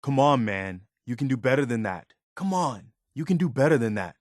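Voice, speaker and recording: An actor playing an earnest, loyal American militia companion in a video game, speaking in an easygoing, plainspoken way. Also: male voice, medium pitch, big pitch swings, normal speed, no room sound, clean studio sound, close to an everyday voice. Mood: disapproving. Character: earnest, principled militia companion, adult man, loyal and caring.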